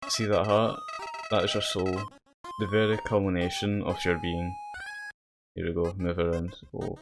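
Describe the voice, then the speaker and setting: A man's voice: low voice, flat delivery, normal speed. A man giving casual let's play commentary in a hesitant, rambling way, sometimes reading game dialogue aloud. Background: game music.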